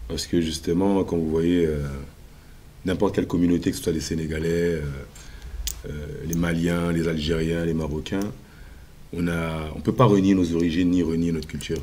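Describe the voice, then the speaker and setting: A man with a very low, husky voice answers an interviewer on a television show. He speaks with confidence and emphasis, stopping often on filler sounds as he builds his points. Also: level tone, moderate pace, mellow. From Congo. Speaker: musician and guest.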